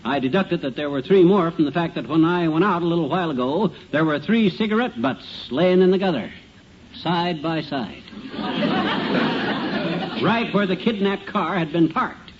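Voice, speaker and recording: An actor with an American accent playing a cocky, dim-witted amateur detective in a folksy, word-mangling 1950s radio comedy style. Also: male voice, fairly high pitch, expressive, moderate pace, close to an everyday voice. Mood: self-important. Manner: folksy, bumbling, self-important, mangles words. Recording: dull, noisy old radio recording.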